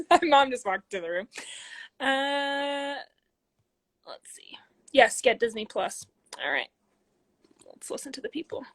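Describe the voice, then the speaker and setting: A woman in her twenties, speaking from a room at home into a phone, chats casually with many ums as she reads out viewer questions and answers them, sometimes dropping to soft asides. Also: sits high, animated, slow pace, long pauses. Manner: reads comments aloud, chatty, frequent ums, drops into soft asides. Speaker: athlete hosting a live Q&A.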